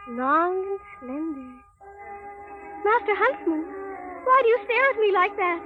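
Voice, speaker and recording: Casting: timid storybook princess, extremely high, lilting girlish voice, sweet and innocent, old radio drama on a tinny recording. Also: big pitch swings, unhurried, heavily acted. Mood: gentle wonder. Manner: sweet, girlish, wide-eyed. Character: innocent, gentle, timid young fairy-tale princess. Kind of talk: 1930s radio drama acting. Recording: tinny 1930s radio recording, loud music.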